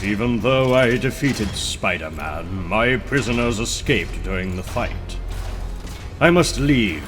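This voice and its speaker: Male actor as megalomaniac villain scientist, menacing, theatrical, unhurried delivery, prominent background music. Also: on the low side, a bit bright. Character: boastful megalomaniac supervillain scientist with mechanical arms. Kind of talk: comic book dramatic reading.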